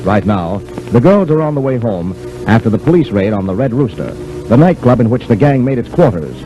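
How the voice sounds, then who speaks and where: A man's voice: medium pitch, very animated, brisk, muffled recording. A man announcing and narrating an old-time radio serial in scripted storytelling style. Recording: hissy old radio broadcast recording. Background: music.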